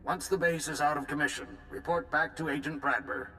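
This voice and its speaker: A man's voice: a little high, steady tone, a little fast, bright, forceful tone, slight background noise. An actor playing a blunt male supreme military commander in a video game, commanding in tone.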